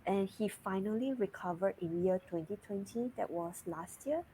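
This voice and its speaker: A woman in her thirties from Singapore with a Singaporean English accent, a guest chatting openly in an interview over a video call, often breaking into soft laughs mid-sentence. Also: mid-range voice, normal speed, slight background noise, poor audio. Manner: laughs lightly mid-sentence, relaxed and open. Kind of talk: casual interview chat.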